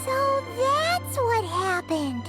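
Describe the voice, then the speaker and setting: A woman's voice: very high-pitched, big pitch swings, drawn-out words, music in the background, heavily acted. A woman voicing a tiny, curious, childlike fairy companion in a video game. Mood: curious, concerned.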